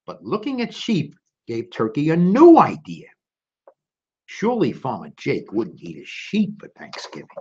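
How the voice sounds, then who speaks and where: A man's voice: medium pitch, huge pitch swings, a little slow, warm tone, breathy edge. A man reading a children's story aloud expressively, with casual asides directly to young listeners. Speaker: storytime reader. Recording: dull lo-fi recording.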